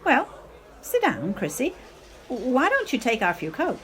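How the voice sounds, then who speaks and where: A woman's voice: high-pitched, wildly swooping pitch, a little slow, fairly bright tone, exaggerated voice. A woman acting a melodramatic, self-pitying, clinging middle-aged mother in a radio play, with theatrical, affected speech. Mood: wounded, pleading. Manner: theatrical, affected, sprinkles in foreign phrases.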